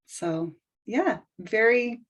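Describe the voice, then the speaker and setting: A woman's voice: on the high side, expressive, very slow, muffled recording. A woman from Washington State introducing herself thoughtfully on a call, with many fillers. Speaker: participant in a video call. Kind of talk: casual self-introduction.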